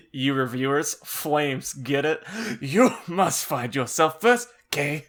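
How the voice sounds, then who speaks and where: A man's voice: fairly high pitch, slow pace, fairly bright tone, voice pushed up. A man voicing an eccentric, jokey old wizard headmaster in a comic audiobook, with hammy delivery. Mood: jokey, cryptic.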